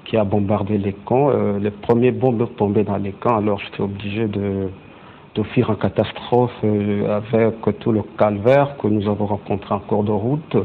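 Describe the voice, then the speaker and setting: A man guest giving plain, measured answers about his past in an interview. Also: fairly low pitch, steady tone, vocal fry. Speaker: panel guest. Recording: tinny phone-like sound with faint noise.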